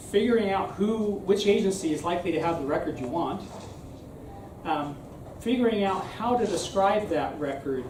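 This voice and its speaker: A man giving an informal public talk in a conversational, explanatory way, often checking in with "right?". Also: high voice. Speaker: speaker giving a civic talk. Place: room at a live meeting. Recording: roomy, distant mic, clear background noise.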